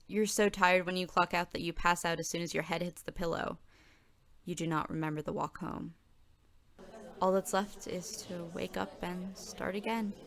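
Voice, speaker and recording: A woman's voice: lowish voice, fairly bright tone, no room sound. A woman performing scripted audio drama narration as a nervous, overworked new coffee shop worker. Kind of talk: scripted second-person narration.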